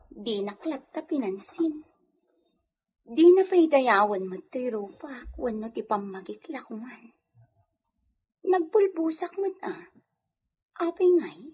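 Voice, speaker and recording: A woman's voice: on the high side, a little mellow. A woman playing a deferential, wistful young village woman in an Ilocano comedy radio drama, speaking respectfully and expressively. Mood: wistful, complaining.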